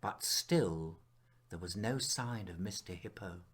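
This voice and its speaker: A man narrating a children's picture book as its author, telling it expressively with dramatic pauses and hushed moments. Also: low voice, slightly rough. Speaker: author reading his picture book aloud.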